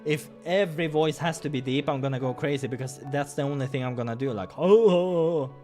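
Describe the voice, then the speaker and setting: A man narrates a visual novel playthrough in a mid-pitched voice, slipping between story reading and casual commentary. Music plays clearly behind him. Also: steady tone, fast talker, a little mellow. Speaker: game playthrough host and narrator.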